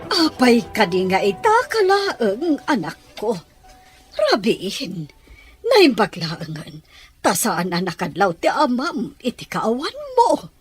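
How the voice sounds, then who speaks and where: A woman with a Filipino accent playing a worried, chiding middle-aged mother in a radio drama, husky-voiced. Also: a little high, big pitch swings, takes it slowly, faint music behind, full character voice.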